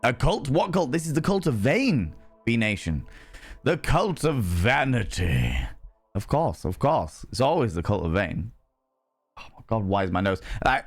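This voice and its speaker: Hoarse guy streaming, low voice, cheeky theatrical banter with chat. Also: no echo. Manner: playful, theatrical greetings to chat. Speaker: livestream host. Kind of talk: casual chat with viewers.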